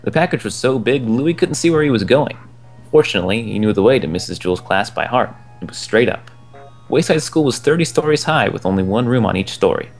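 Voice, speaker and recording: A young man reading a children's book aloud in a relaxed way, chuckling now and then at the text. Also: medium pitch, brisk. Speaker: storyteller. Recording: soft game music behind the voice.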